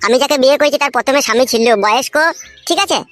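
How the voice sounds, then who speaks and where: A man's voice: very high-pitched, rapid-fire, fairly bright tone. A man voicing a downtrodden, naive young village husband in a comic cartoon, plaintive and deferential. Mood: confiding, aggrieved. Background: music.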